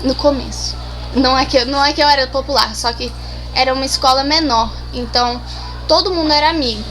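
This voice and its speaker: High-voiced teen girl, hesitant chat. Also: fast talker, a bit bright, rough recording. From Brazil. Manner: shy, hesitant, many restarts and fillers. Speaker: interview guest. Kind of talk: casual conversational answers.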